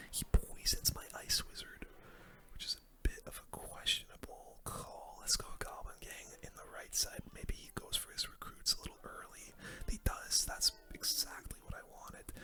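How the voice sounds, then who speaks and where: Whispered voice, soft and breathy, ASMR game commentary, relaxed conversational host. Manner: relaxed, conversational narration of own play. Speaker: gaming video host.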